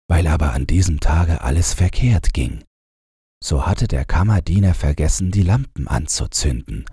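Very deep, husky male storyteller. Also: warm tone, no room sound, clean studio sound. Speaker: audiobook narrator. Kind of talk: bedtime fairy-tale narration.

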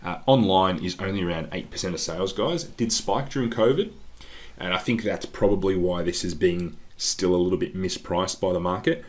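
A very low-voiced man talks through share picks in a loose, chatty way. His voice sounds congested, and he keeps calling his audience "guys" between ums. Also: a little fast. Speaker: investing channel host. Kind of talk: casual stock analysis over slides. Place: voice-over on screen-share slides.

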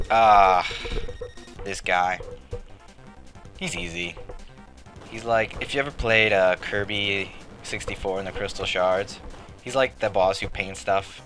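A young man giving rambling, joking commentary while playing a game, his voice a little raspy. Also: deep voice, fairly bright tone. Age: late teens to young adult. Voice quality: slightly raspy. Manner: joking, rambling, self-mocking. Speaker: let's play commentator. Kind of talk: casual gameplay commentary. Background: game music.

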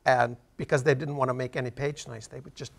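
An American man in his sixties from Montana speaks in a gravelly, mid-pitched voice. He explains things in a casual, conversational way as an interview guest. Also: level tone, fast talker. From Montana, United States. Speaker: interview guest, theater writer and director. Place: TV studio.

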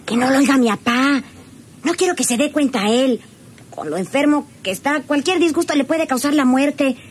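A woman playing a gentle, cautious young country lady with a Mexican accent and an earnest manner in a radio drama. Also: high-pitched, normal speed, close to an everyday voice. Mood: concerned. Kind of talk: radionovela acting. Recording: noisy old radio serial recording.

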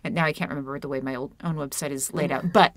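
A woman in her thirties speaks as a guest on a home video call with a wired earphone mic. Her voice sits a little low, and the sound is dry and close, with no room around it. She talks loosely and self-deprecatingly, with plenty of ums and restarts. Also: fairly even intonation, quick pace, dry recording. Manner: chatty, self-deprecating, many fillers. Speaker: author and puppeteer guest. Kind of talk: casual video-call interview. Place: home room with a red curtain.

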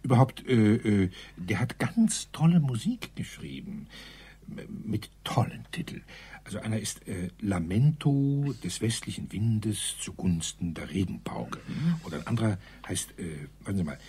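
An actor playing a rambling colonel in a German radio crime drama, hesitant then emphatic, with a husky, breathy voice. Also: male voice, fairly low pitch, sing-song, a little slow, mellow, full character voice. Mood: rambling, musing.

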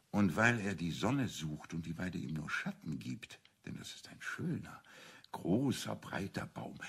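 An actor playing a shrewd priest and amateur detective in a German radio crime drama, explaining deliberately and with self-assurance. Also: male voice, fairly low pitch, vocal fry, husky, full character voice. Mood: confident, explaining.

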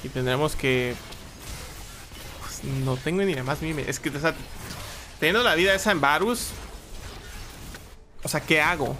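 A young man, chatting casually while playing a strategy game, reading chat and breaking into loud exclaims. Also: a little high, moderate pace, pauses often, a little hiss or hum. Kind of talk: casual live gameplay commentary. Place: small room at home. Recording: game music behind the voice.

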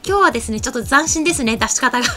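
A young woman with a strikingly high voice hosts a studio show. She talks fast and keeps to courteous, formal phrasing as she reads announcements and chats. Light music plays underneath. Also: expressive. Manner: polite, formal presenting. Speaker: host of an anime news show. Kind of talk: scripted announcements and studio chat. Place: small indoor streaming studio. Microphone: desk microphone on an arm.

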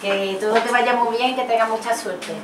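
A woman's voice: a little high, steady tone, moderate pace, echoey room, recorded from a distance, noisy background, natural voice. A woman playing a kind but firm counselor. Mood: gentle. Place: office.

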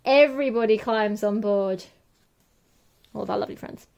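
A woman reading a children's picture book aloud as a teacher would, in an expressive, measured way. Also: medium pitch, creaky, lo-fi sound. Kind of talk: children's storybook read-aloud.

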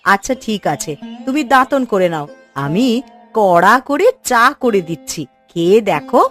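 A woman plays a gently scolding, caring cartoon housewife. Her mid-pitched voice swings in a very lively way as she coaxes and advises, with faint music behind. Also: normal speed, natural voice. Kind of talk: Bengali cartoon story dialogue.

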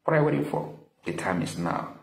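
A man in his thirties giving earnest, deliberate, motivational advice to camera as a study-abroad vlogger. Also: mid-range voice, animated, gravelly. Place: small indoor room with window blinds. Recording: echoey room with some background noise.

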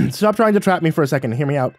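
Man acting an awkward, pleading young man, high-strung. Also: lively intonation, rapid-fire, no echo, soft background music. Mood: flustered pleading. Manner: stammering, croaky, whiny desperation. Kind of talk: audiobook character voice.

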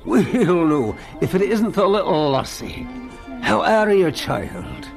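Slow, creaky, kindly old-time preacher. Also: male voice, high voice, big pitch swings, soft background music, heavily acted. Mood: warm, gentle. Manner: gentle, folksy, deliberate. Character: kindly folksy preacher greeting a child. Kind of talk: vintage radio drama acting.

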